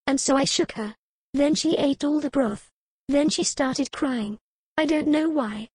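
Woman voicing a worried little girl, pleading, high and pinched, dry close audiobook read. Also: unhurried, darkish tone, dry recording. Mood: anxious. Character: earnest anxious little girl, about seven.

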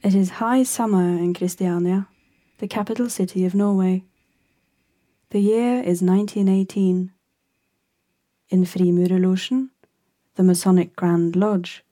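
A woman narrating a radio play in a calm, measured, scripted delivery. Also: lowish voice, no room sound, studio quality.

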